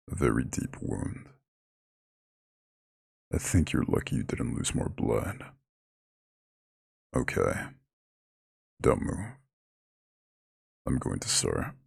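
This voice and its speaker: A man voicing an ancient eldritch god in an ASMR roleplay, proud and lordly yet tender, with a very deep, husky, gravelly growl. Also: dry recording, clean studio sound, exaggerated voice. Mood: commanding, attentive. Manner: lordly, formal, slow comforting address. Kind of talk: scripted ASMR roleplay monologue.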